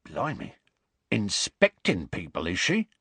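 Casting: male reader as big rustic half-giant, husky, animated, stubbornly carefree storybook character. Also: sits low, takes it slowly, no room sound, exaggerated voice. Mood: wary. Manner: rustic, colloquial, dropped sounds.

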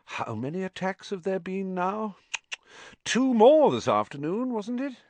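A sneering male aristocrat acted by an audiobook reader, oily and polite. He takes his time over every phrase, his pitch sliding up and down with smug menace. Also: very animated, measured pace, dry recording. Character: sneering, smooth, lazily menacing aristocratic man. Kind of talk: audiobook character acting.

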